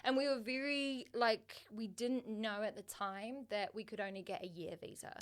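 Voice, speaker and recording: A woman with a New Zealand accent talks through her story as a guest on a podcast. She is animated, and she acts out the people she quotes as she goes. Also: on the high side, moderate pace, clear, resonant tone. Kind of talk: casual chat.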